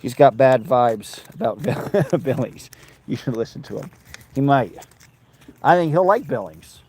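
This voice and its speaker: A man's voice: medium pitch, expressive, measured pace, a little mellow, slightly rough. A man rambling casually on a livestream from a car, talking to his dog and his viewers. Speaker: livestreamer on a road trip.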